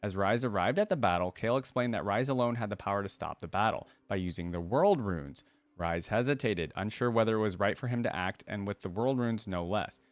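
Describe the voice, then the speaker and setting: Male reaction host, lowish register, informal read-and-comment delivery, dry recording. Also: fairly quick, dry recording. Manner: informal, addresses viewers as guys and dude. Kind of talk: casual reaction commentary, reading wiki text aloud and commenting.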